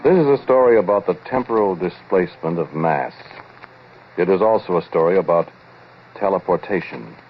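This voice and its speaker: An actor playing an ominous, knowing scientist who confides a strange tale straight to the listener, deliberate and sardonic, in 1940s radio drama style. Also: male voice, low voice, animated, a little hiss or hum. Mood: sardonic, menacing. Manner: intimate, deliberate, sardonic, addressing the listener directly. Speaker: narrator and lead actor in a radio play. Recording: muffled vintage 1940s radio recording.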